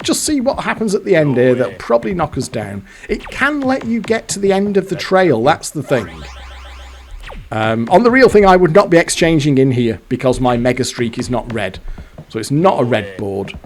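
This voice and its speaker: A man giving casual, exasperated commentary while playing a fruit machine, swearing now and then. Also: fairly high pitch. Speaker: slot machine video host.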